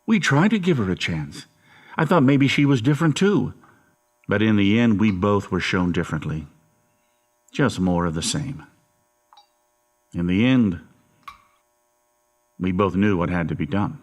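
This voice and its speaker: A man's voice: lowish voice, animated, measured pace, pauses often. An actor playing a cold, manipulative, murderous father in a horror audio drama, menacing and insistent in manner. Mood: grim, resolved.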